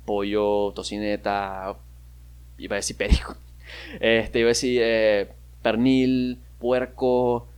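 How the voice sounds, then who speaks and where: A young man from Maracaibo with a Venezuelan maracucho accent, hosting a casual solo podcast, rambling and joking at himself. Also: medium pitch. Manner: rambling, self-mocking, with frequent fillers and laughs. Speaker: podcast host.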